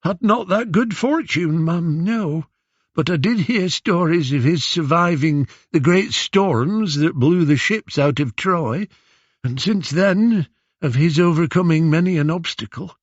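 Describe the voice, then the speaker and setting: A male narrator plays a humble old beggar from a storybook. The voice is hoarse and whining, pitched well up, with a deferential, rustic manner and lively swoops. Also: measured pace, no room sound, dull audio. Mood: humble, reassuring.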